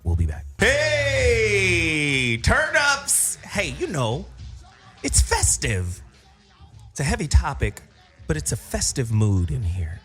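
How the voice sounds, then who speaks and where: Male radio host, highly expressive pitch, boisterous and colloquial banter, faint music bed. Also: slow. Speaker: talk show host. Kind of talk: lively radio talk show banter. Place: studio with guests.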